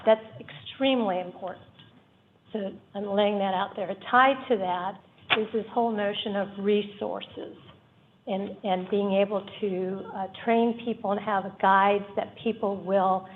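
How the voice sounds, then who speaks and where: Soft-spoken woman making a public comment, earnest, sounds like a thin phone line in a meeting room. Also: medium pitch, steady tone, unhurried. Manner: earnest, explains with examples. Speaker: community member giving public comment. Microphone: room microphone. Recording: tinny phone-like meeting audio.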